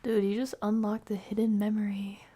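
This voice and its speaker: A woman hosting an ASMR stream, chatting casually with viewers in a soft, often whispered voice. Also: mid-range voice, little pitch movement, moderate pace, husky. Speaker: ASMR livestream host.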